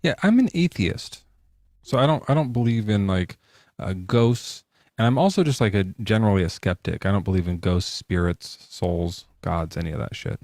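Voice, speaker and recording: A man's voice: low-pitched, animated, moderate pace, vocal fry, a little mellow, no room sound, studio quality. A male podcast host talking casually from his garage in a jokey, loose way, with plenty of swearing. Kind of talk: casual debate chat. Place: home garage.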